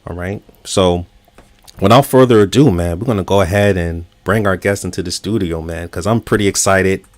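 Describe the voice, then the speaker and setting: A man's voice: low-pitched, normal speed, no echo. A man hosting a relationship talk show, earnest and encouraging, using filler words as he talks.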